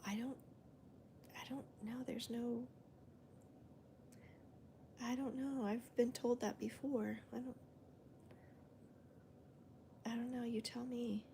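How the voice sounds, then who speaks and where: Female crochet host, whispery and gravelly, calm and even, counts stitches aloud. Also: a little high, measured pace, long pauses, a little hiss or hum. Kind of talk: soft-spoken crochet demonstration and chat.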